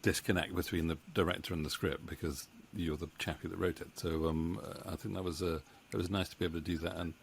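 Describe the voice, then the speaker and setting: A man's voice: low-pitched, level tone, fast, gravelly, few pauses, slight background noise. A middle-aged British man chatting casually and hesitantly, with a modest, self-deprecating manner, into a close microphone at home. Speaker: audio drama writer and director. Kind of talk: casual interview chat. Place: home room with bookshelves. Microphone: studio mic on boom arm, close.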